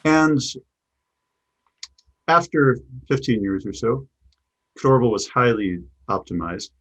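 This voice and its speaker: A man's voice: mid-range voice, expressive, measured pace, fairly bright tone, breathy edge, poor audio. A middle-aged man with a Chicago accent, answering interview questions in a thoughtful way, often repeating words as he restarts. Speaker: guest, business coach.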